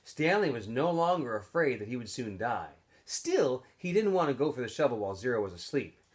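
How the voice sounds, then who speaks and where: A man reading a story aloud like a teacher to students, in expressive narration. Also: mid-range voice, a little fast.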